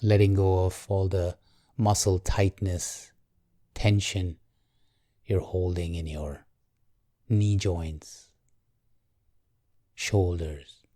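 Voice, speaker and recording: A man guiding a meditation in a calm, gentle, measured way, leaving long pauses. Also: deep voice, no room sound, clean studio sound. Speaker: meditation guide. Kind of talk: guided meditation, slow and soothing.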